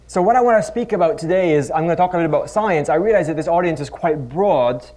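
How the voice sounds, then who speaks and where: A man's voice: on the high side, lively intonation, quick pace, mellow. Middle-aged male academic from the Netherlands, wry conference speaker on a stage mic, self-deprecating and chatty. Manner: wry, self-deprecating, conversational with frequent restarts. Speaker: physics professor giving a lecture. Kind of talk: conference talk with humorous anecdotes. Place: conference stage before a live audience.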